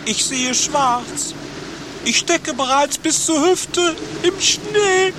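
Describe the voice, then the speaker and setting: An actor playing a fussy, pessimistic patient, dour and deliberate, in a satirical radio play. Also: male voice, very high-pitched, expressive, background noise, exaggerated voice. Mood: despairing. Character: gloomy, fussy male stamp-collecting patient, pessimistic.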